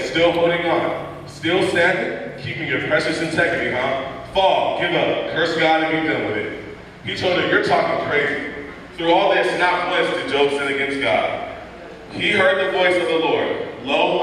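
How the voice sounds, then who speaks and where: A young man narrating a church skit, reading the story in a steady storytelling manner from a pulpit microphone in a large church sanctuary. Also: mid-range voice, slight background noise. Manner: steady storytelling read-aloud, voicing quoted lines plainly. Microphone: stand mic at pulpit, heard from far off. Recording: echoey church hall from far off.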